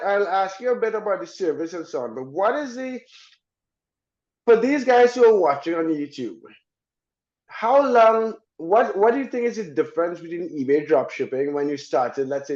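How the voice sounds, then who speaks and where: A man's voice: a little high, expressive, normal speed, a little mellow, echoey room, muffled recording. A man hosting a casual interview, eager and chatty, often restarting his sentences. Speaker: host.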